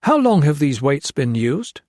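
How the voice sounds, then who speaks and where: A man's voice: a little high, very animated, measured pace, darkish tone, dry recording, studio quality, voice pushed up. A man voicing a stern, relentless army lieutenant who presses his questions firmly and coldly. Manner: firm, relentless, cold.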